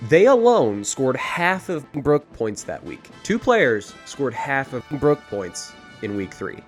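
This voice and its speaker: A man's voice: mid-range voice, sing-song, takes it slowly, faint music behind. A young man casually recapping his fantasy football league, rambling and self-mocking, getting hyped over big plays.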